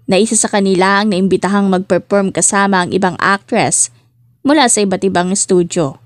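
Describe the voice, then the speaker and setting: A woman's voice: mid-range voice, no echo, studio quality. A woman reading a scripted story as an audiobook narrator.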